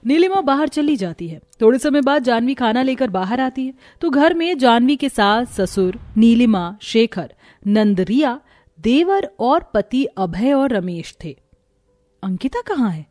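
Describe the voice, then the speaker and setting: A woman reads a scripted family story as narrator. Her voice is fairly high, and the recording is dry with very little room sound. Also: expressive, a little slow, a little mellow, no echo.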